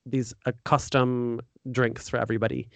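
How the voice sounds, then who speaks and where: A man's voice: mid-range voice, level tone, moderate pace, creaky, dry recording. An American man hosting a podcast, interviewing in a casual, informal and enthusiastic conversational way. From United States. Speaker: podcast host.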